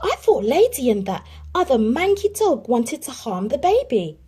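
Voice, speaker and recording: A woman's voice: high voice, very animated, moderate pace, slightly rough, voice pushed up, exaggerated voice. A woman doing a bossy, stern aunt. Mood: startled. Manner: sharp, commanding.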